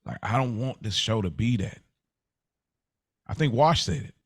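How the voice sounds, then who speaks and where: A man's voice: on the low side, animated, moderate pace, slightly rough, no echo, clean studio sound. A man co-hosting a podcast, speaking casually and earnestly, with slang and repeated phrases for emphasis. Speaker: podcast co-host.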